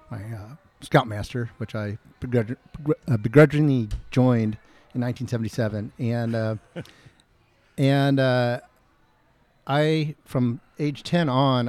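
A middle-aged man from Kentucky telling a family story in casual chat, halting and full of fillers. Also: medium pitch, a little mellow, dry recording. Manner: halting, many fillers and repeats. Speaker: guest.

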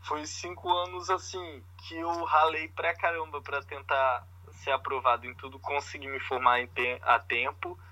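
A man's voice: a little high, flat delivery, normal speed, hardly any pauses, sharp tone, highs cut off. A Brazilian man chatting casually and informally in Brazilian Portuguese, telling anecdotes with plenty of slang, as a podcast host. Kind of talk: casual conversational chat.

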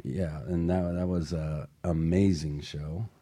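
Male radio co-host, low voice, motivational and emphatic, moves between scripted pitch and banter. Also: steady tone, slow pace, dark tone. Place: radio studio.

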